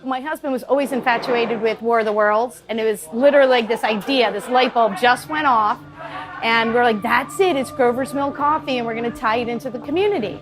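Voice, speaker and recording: A woman's voice: a little high, brisk, music in the background, poor audio. A woman who runs a coffee shop, telling its origin story enthusiastically in an interview.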